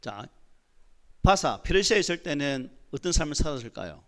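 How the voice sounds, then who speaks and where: A pastor preaching a Sunday sermon in a church with a Gyeongsang dialect, often asking the congregation rhetorical questions and building to emphatic points. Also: male voice, a little high, sing-song, moderate pace, fairly bright tone. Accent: Gyeongsang Korean dialect. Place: church sanctuary.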